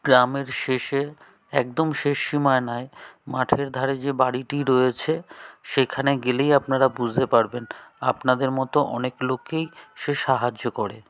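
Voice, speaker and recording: Creaky, even-toned, man acting as concerned young gentleman, courteous, helpful, cartoon story dialogue. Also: mid-range voice, normal speed, natural voice.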